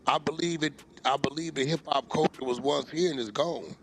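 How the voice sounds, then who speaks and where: A man's voice: a little high, a little fast, a bit bright, slight background noise. A man in a heated podcast debate, talking bluntly and profanely about the music business.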